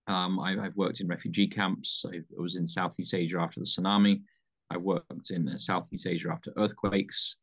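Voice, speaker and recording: A British man in his fifties, a pastor answering interview questions in a measured way with frequent ums and restarts. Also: sits low, little pitch movement, fairly bright tone, breathy edge, dull audio. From United Kingdom. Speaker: pastor, mission leader.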